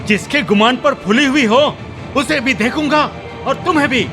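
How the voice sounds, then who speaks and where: A man's voice: very high-pitched, moderate pace, over music. A man playing a hot-tempered, arrogant younger brother from a village in a Hindi radio drama, demanding and quarrelsome. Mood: angry, threatening.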